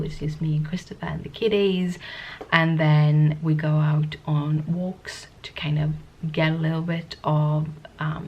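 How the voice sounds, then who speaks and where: Low-voiced woman vlogging with a cold. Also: darkish tone, muffled recording. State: sniffly with a cold. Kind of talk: casual talking-to-camera vlog.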